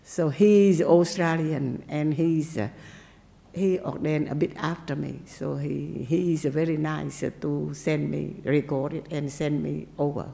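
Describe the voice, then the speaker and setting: A woman Buddhist nun, not a native English speaker, giving a gentle dharma talk with simple phrasing and pauses. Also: sits low, steady tone, gravelly, long reverb tail. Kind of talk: dharma talk and meditation teaching.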